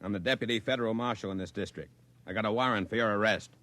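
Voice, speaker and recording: An actor playing a brusque fast-talking marshal. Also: male voice, fairly low pitch, a bit bright, lightly acted. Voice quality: brusque, sarcastic. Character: brusque, no-nonsense lawman serving warrants. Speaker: deputy federal marshal. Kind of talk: 1950s radio drama acting.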